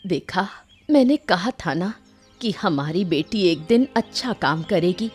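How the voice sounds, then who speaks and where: A woman's voice: lowish voice, animated, measured pace, darkish tone, faint music behind. A woman playing a proud, protective village mother in a cartoon, speaking with firm emotion. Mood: proud, reproachful.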